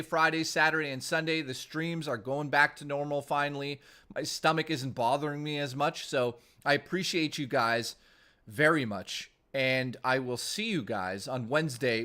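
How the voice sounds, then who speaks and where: A man streaming, hoarse and losing his voice, rambling through a casual sign-off and thanking viewers by name. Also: fairly high pitch, bright, forceful tone.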